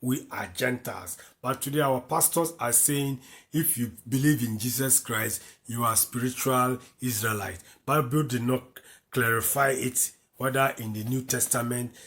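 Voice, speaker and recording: A man's voice: mid-range voice, fairly bright tone. A man from Africa, teaching from the Bible by reading verses aloud and then explaining them, repeating key lines. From West Africa. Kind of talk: slow, repetitive Bible commentary.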